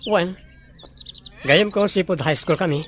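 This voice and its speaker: A man's voice: high voice, measured pace. A man playing a scheming, irritable and insistent boss in an Ilocano audio drama, with faint noise behind. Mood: casual.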